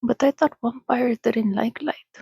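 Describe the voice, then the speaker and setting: Woman softly reading as an eager, breathy young man. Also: on the high side, steady tone, a little slow, dry recording, studio quality, exaggerated voice. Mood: uneasy. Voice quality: breathy, soft. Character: eager, nervous young male interviewer. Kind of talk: soft ASMR storybook reading.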